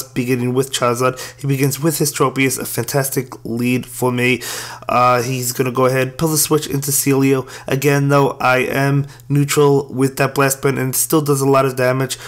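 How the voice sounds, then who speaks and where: A hoarse man who is losing his voice gives casual, apologetic play-by-play commentary on his own game matches. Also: medium pitch. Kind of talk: gameplay commentary.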